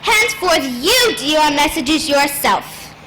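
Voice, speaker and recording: Woman playing a shrill, weary old nurse. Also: big pitch swings, takes it slowly, background noise, heavily acted. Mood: teasing, exasperated. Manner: theatrical, groaning and grumbling. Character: weary, complaining old nurse, teasing her young charge. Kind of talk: Shakespearean stage acting.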